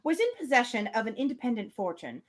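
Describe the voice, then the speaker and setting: A woman with a fairly high voice and very animated intonation hosts a live novel reading. She moves between expressive narration and informal, amused commentary. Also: quick pace. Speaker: host reading a novel on a livestream. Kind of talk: live book reading with casual asides.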